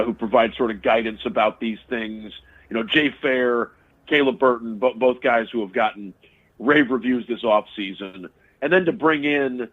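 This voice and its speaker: Man analyzing football over a tinny phone line. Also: mid-range voice. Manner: analytical, restarts phrases often. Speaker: sports radio broadcaster, guest. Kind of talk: casual sports interview.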